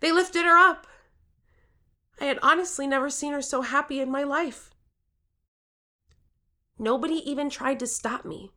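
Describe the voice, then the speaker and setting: A woman voicing an earnest, expressive 13-year-old game-show contestant who narrates their own story in an audio drama. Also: sits high, normal speed, pauses often. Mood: uneasy.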